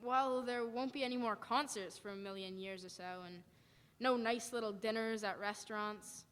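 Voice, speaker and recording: A woman's voice: a little high, normal speed, fairly bright tone, lightly acted. A woman playing a defiant, wary militia survivor with blunt, folksy, emphatic speech in a live radio drama. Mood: grimly reasoning. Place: church hall stage, live performance. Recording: echoey hall, live performance.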